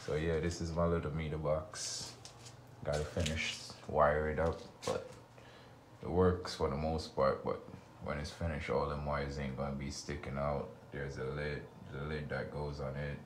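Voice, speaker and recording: A man, walking through his unfinished basement with a phone and casually narrating his renovation work in a relaxed, rambling way. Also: a bass voice, moderate pace, a little hiss or hum. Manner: relaxed, rambling, informal speech. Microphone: phone camera held while walking.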